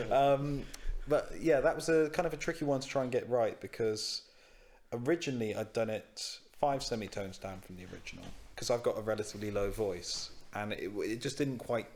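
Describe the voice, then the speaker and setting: A man's voice: mid-range voice, moderate pace. A man co-hosting a casual podcast chat among musicians, chatty and self-deprecating, laughing often.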